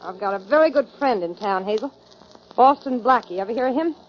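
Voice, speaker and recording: An actress playing a tough, sharp-tongued female rodeo rider, emphatic and pointed in 1940s radio style. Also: on the high side, lively intonation, brisk, lightly acted. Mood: biting, defiant. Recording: dull, noisy 1940s radio recording.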